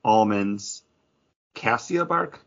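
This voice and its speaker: Bright-voiced man, low pitch, dull audio. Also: expressive, drawn-out words, creaky. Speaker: podcast co-host. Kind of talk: casual chat, craft beer review.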